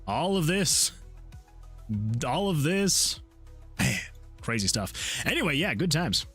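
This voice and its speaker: A man hosting a game development livestream, chatting casually with viewers and rambling excitably as he thinks out loud. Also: on the high side, takes it slowly, breathy edge. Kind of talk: casual chat with stream viewers while working. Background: background music.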